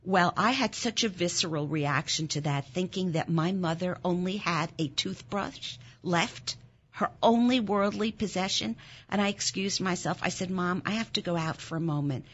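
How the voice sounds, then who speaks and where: A German-born woman in her sixties, a nonprofit founder, telling a deeply personal story in an interview in an earnest, intense way, her voice rising sharply when moved. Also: normal speed, muffled recording. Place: Philadelphia.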